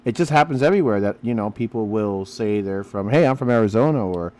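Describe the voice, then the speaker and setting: A man's voice: lowish voice, animated, quick pace, a little mellow. Radio host guy, rambles a lot, kinda preachy, lots of you know. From Pennsylvania. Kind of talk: casual interview chat.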